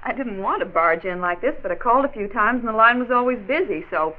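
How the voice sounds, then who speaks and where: An American actress playing a concerned, polite and inquisitive doctor's wife in a 1940s educational radio drama. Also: female voice, on the high side, fast, natural voice. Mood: neighborly. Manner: polite, questioning, expressive. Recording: tinny, narrow-band 1940s radio recording.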